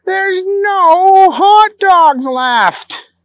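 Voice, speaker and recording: A storyteller voicing a loud, silly, grubby young man who yells in a high, excitable voice with folksy whoops. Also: unhurried, no room sound, studio quality, exaggerated voice. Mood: whiny.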